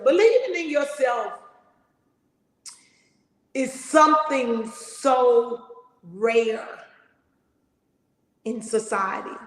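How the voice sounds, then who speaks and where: A middle-aged woman hosting a podcast, talking loudly and emphatically in a big voice. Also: on the high side. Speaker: podcast host. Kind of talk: motivational casual talk. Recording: echoey room with long reverb.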